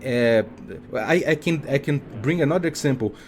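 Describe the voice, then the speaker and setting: A man's voice: mid-range voice, a little mellow, slight background noise. A man from Brazil with a Brazilian Portuguese accent, a game designer talking in a casual podcast conversation, often stopping and restarting his sentences. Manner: halting, many restarts and fillers.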